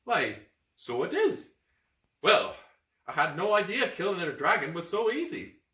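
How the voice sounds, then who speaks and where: A man's voice: sits high, very animated, a little slow, sharp tone, roomy sound, distant mic, pitched above the performer's own voice, full character voice. A man voicing a brash young warrior boy from the past who speaks in old-fashioned heroic phrases. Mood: bashful.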